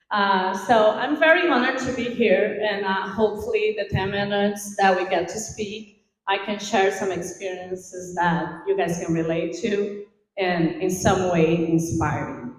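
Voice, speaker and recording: A middle-aged woman from Brazil with a Brazilian accent, giving an informal, animated motivational talk on stage in a large room. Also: mid-range voice, slight background noise. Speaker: invited event speaker. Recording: echoey hall.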